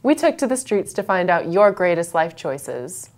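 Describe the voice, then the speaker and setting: A teenage girl hosting a student news show, trading dry, deadpan banter from a script. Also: fairly low pitch, moderate pace. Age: teens.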